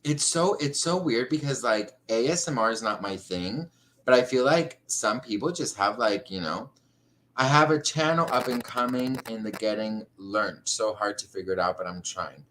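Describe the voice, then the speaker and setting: A man's voice: mid-range voice, expressive, normal speed, fairly bright tone, a little hiss or hum, poor audio. A man hosting a casual livestream chat, effusive and gushing, calling guests honey and girl. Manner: chatty, effusive, calls people honey and girl. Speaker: livestream host.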